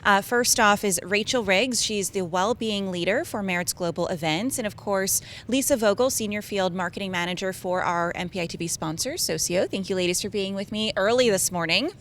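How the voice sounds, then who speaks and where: A hoarse woman with a raspy voice hosts a live interview at a trade show, upbeat and chatty with plenty of ums. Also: medium pitch, brisk, few pauses, fairly bright tone, a little hiss or hum. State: hoarse, losing her voice. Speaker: show host.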